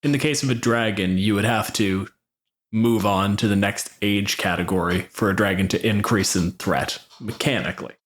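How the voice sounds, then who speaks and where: A man's voice: on the low side, moderate pace. A man hosting a podcast, chatting casually and with opinions, swearing freely as he makes his points. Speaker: podcast host.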